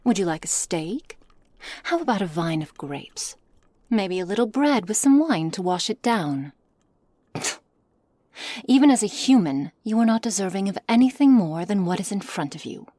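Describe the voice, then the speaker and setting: A woman playing a haughty, condescending witch in an ASMR roleplay, speaking softly in measured, formal phrases with a commanding manner. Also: mid-range voice, expressive, no room sound, close to an everyday voice. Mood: mocking, disdainful. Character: cold, haughty witch, imperious captor of a werewolf. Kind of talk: ASMR roleplay, scripted character acting. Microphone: close studio mic, dry.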